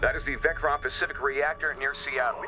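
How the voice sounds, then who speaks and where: An actor playing a grave television news anchor, reading breaking news in a measured way in a game cinematic. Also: male voice, mid-range voice, fairly even intonation, over loud music, close to an everyday voice.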